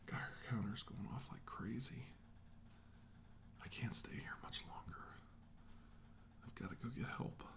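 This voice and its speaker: Hushed, grim male scientist, acted by a man. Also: mid-range voice, in a whisper. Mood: remorseful. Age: middle-aged. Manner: hushed, halting, slow delivery in short phrases. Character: grim, remorseful middle-aged male military scientist. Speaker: ASMR role-play actor. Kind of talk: ASMR dramatic monologue, half whispered. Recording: hushed voice over clear background noise.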